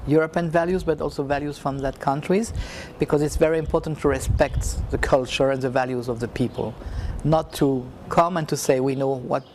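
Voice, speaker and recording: A man speaking slowly and reflectively, with frequent fillers and halting, non-native phrasing. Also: mid-range voice, steady tone. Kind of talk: reflective speech.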